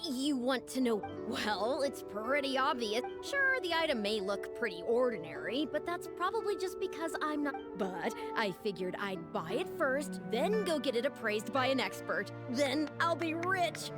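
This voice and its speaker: An eager, money-hungry young woman from a video game. Her high voice is lively and unhurried, with perky self-assurance. Music plays clearly behind it. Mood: giddy, scheming. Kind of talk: scripted video game voice acting.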